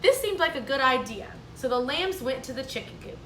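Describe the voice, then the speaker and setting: A woman, a kindergarten teacher, reading a storybook aloud to children in a clear, explaining, sing-song manner. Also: sits high, expressive, brisk, a bit bright, a little hiss or hum. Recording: echoey room, mic at a distance.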